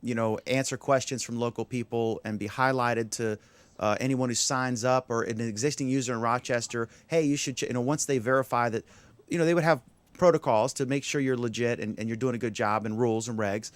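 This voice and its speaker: A man's voice: medium pitch, fairly even intonation, brisk, dry recording. A man hosting an online panel discussion, asking long, rambling questions that he keeps breaking off and restarting. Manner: rambling, self-interrupting questions. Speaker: show host. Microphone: video call.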